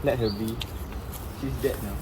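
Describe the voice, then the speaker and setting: A man playing a weary, formal gentleman near sixty in a stage play, speaking in a measured, slightly halting, melancholy way. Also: mid-range voice, fairly even intonation, heavy background noise, natural voice. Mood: subdued, mournful. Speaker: stage actor.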